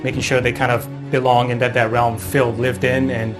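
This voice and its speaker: A man's voice: mid-range voice, flat delivery, normal speed. Male art director, documentary interview. Level, mid-range voice over music.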